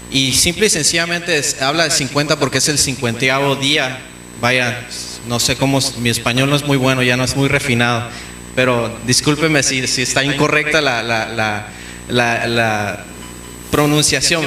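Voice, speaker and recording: A man from Mexico, preaching a teaching sermon in a church, often pausing with fillers and restarts. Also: mid-range voice, sharp tone. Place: church hall. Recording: echoey church room with faint noise.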